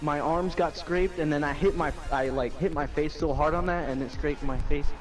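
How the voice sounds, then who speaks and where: A man's voice: a little high, steady tone, moderate pace, over music. A young man casually telling anecdotes to a friend, rambling, slangy and full of swearing. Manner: slangy, profane, loose and rambling.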